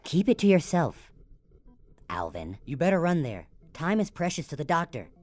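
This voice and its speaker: A man's voice: a little high, big pitch swings, normal speed, a little mellow. A man playing an impatient, snappish doctor's assistant in a comic radio play, curt and scolding.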